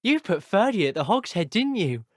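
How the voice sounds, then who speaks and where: A man's voice: sits high, big pitch swings, normal speed, no echo, clean studio sound. A man voicing an earnest, chatty teenage wizard schoolboy in a video game, with a British accent and a polite, wry, old-fashioned turn of phrase. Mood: friendly, teasing. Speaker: voice actor. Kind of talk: scripted video game character voice clips.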